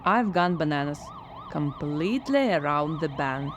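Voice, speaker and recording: A woman's voice: sits low, animated, a little slow, noisy background. A woman playing a skeptical, frazzled scriptwriter in a radio drama, dry and sardonic.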